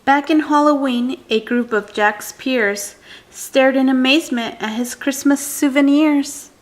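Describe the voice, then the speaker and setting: Casting note: woman, higher-pitched voice, slow expressive storybook reader for little kids. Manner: deliberate, dramatic phrasing with questions to a child listener. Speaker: adult reading a picture book aloud to a child. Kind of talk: expressive storybook read-aloud for a young child.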